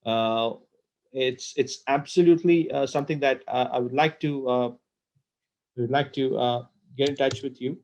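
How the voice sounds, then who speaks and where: A man presenting a software webinar on a computer call, talking with many fillers and repeated words. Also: mid-range voice, steady tone, moderate pace, muffled recording. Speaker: product presenter.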